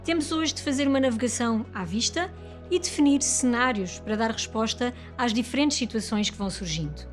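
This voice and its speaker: A woman's voice: fairly high pitch, expressive, a little fast. A woman with a European Portuguese accent reads a scripted corporate report as narrator. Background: music.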